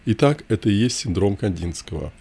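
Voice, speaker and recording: A man narrating a scripted first-person memoir in short, measured, declarative sentences. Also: fairly low pitch, expressive, warm tone, slightly rough.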